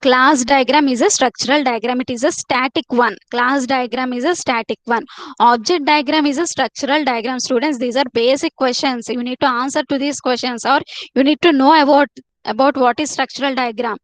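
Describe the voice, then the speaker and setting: A woman's voice: high-pitched, brisk, no echo. A woman teaching a live online class, repeating herself and coaxing students to answer in the chat.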